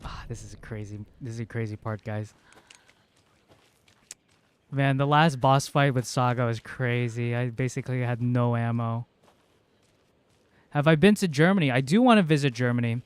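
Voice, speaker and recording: A man's voice: medium pitch, normal speed, long pauses, smooth, no echo. A male game streamer, a native Cebuano speaker, chatting casually and rambling with lots of fillers. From Philippines. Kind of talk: casual livestream chat.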